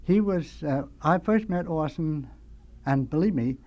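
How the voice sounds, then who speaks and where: Older American man reminiscing, rambling and halting. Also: on the high side, lively intonation, a little mellow, lo-fi sound. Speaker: radio guest, veteran sound effects man. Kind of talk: nostalgic anecdotal storytelling. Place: radio studio.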